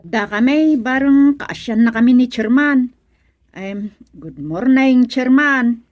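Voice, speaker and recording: High-pitched, excitable blind village woman. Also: slow, no room sound, dull audio. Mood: eager, respectful. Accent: Filipino. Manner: exclaiming, stammering, repeating interjections. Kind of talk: Filipino radio comedy drama acting.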